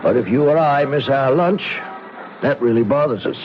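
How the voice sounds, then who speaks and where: Actor as folksy sheriff on muffled old radio. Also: male voice, medium pitch, moderate pace, close to an everyday voice. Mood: puzzled, philosophical. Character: small-town male sheriff, folksy, hesitant, kindly concerned. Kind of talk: 1970s radio drama acting. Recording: muffled, noisy 1970s radio broadcast recording.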